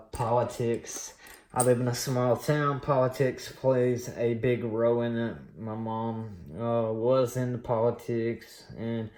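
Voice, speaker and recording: A man in his late thirties rambles through a personal story for his coin collecting vlog. He talks slowly and loosely, with lots of pauses and fillers. His voice echoes around a small room. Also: mid-range voice, darkish tone. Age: late 30s. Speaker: coin collecting channel host. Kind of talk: casual personal storytelling while unboxing.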